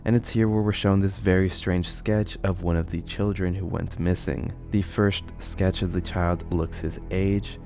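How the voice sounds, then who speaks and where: Man, video host, horror commentary, very deep, soft dark timbre. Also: steady tone, measured pace, few pauses, faint music behind. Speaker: online video host and narrator.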